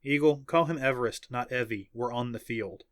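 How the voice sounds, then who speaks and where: Male narrator as female squad leader, audiobook dialogue, brisk and authoritative. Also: mid-range voice, fairly bright tone, no echo, clean studio sound, close to an everyday voice. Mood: firm. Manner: commanding, clipped. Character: stern, brisk female team captain giving orders.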